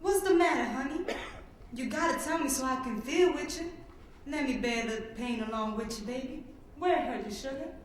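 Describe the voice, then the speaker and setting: Teen girl acting a caring southern country wife, highish voice, big echo from the hall. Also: expressive, normal speed. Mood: tender, soothing. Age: teenager. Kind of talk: dramatic reading on stage. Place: public reading in a hall. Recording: very echoey hall, faint noise.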